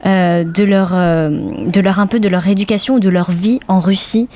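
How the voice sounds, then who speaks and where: A woman from France hosts a laid-back conversation. She speaks at a middle pitch and asks long, meandering questions. Her tone stays chatty and informal. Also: fairly even intonation, normal speed, mellow. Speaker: interviewer, host. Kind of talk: casual conversational interview.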